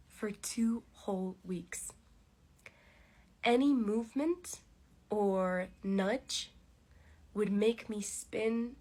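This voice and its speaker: A woman hosting a slow English podcast, speaking deliberately with pauses, congested and with a cough. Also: medium pitch, darkish tone. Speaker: podcast host teaching English. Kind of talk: slow, clear English listening lesson.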